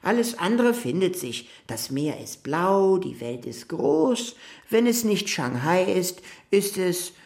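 A woman playing a naive, stubborn, dreamy male would-be seafarer with an earnest, deliberate way of talking in a children's radio play. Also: on the low side, sing-song, a little mellow, exaggerated voice. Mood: determined, dreamy. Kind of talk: German children's radio drama acting.

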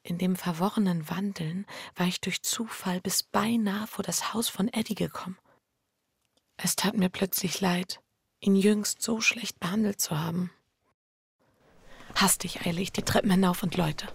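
Hushed, creaky-voiced anxious wife. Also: female voice, on the low side, steady tone, no room sound, studio quality, heavily acted. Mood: restless, agitated. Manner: introspective, hushed inner monologue with halting dialogue. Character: anxious, guilt-ridden adulterous wife, dazed and restless. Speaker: lead actor and first-person narrator. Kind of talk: intimate literary radio drama narration.

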